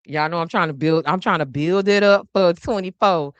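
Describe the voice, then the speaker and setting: A woman's voice: fairly low pitch, moderate pace, smooth, no room sound, muffled recording. A woman hosting a casual political commentary livestream, chatty and teasing, who swears freely and laughs often. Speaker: podcast host.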